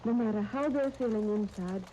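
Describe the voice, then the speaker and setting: Radio serial niece, played by an actress: young, encouraging, earnest, higher-pitched and soft-toned, on a hissy 1939 disc. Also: female voice, brisk, natural voice. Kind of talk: 1930s radio serial acting. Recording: muffled, noisy 1939 radio transcription disc.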